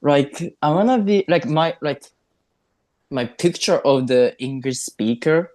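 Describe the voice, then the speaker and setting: A woman's voice: deep voice, lively intonation, unhurried, darkish tone. A woman in her twenties with a Japanese accent, a guest chatting cheerfully in a casual podcast and laughing often. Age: 20s.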